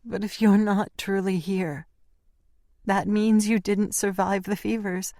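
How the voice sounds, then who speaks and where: A man's voice: high voice, steady tone, takes it slowly, a little mellow, dry recording, clean studio sound, voice pushed up. A man voicing a frightened, confused young woman in an audiobook, speaking anxiously in a raised voice. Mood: fearful. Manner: anxious, questioning.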